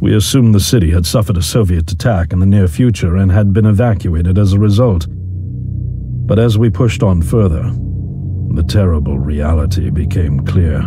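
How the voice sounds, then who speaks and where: An actor playing a weary older physicist in a video game, recounting a catastrophe in a grave, measured, articulate way. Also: male voice, on the low side. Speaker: scientist character. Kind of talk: scripted dramatic video game monologue. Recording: loud music under the voice. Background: loud game music.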